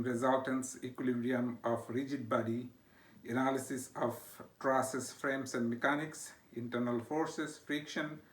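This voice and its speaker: Middle-aged Bangladeshi-accented instructor, roomy sound. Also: male voice, medium pitch, fairly bright tone. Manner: frequent uh fillers and checking okay. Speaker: university instructor. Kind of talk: recorded course introduction. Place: home study with bookshelves. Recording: echoey room.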